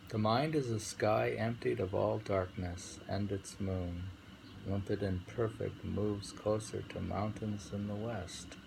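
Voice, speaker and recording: Male poetry reader, gravelly and very unhurried, informal and unrehearsed, faint ambient noise behind. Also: on the low side, steady tone. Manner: unrehearsed, halting reading. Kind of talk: poetry reading with informal discussion.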